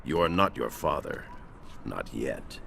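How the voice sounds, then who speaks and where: An actor playing a stern, cold grandmaster in a video game cutscene, speaking formally and with measured admonishment. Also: male voice, big pitch swings, slight background noise, exaggerated voice. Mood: stern, testing. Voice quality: very deep, gravelly. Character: stern, ancient-sounding martial arts grandmaster, cold and measured. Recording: loud music under the voice.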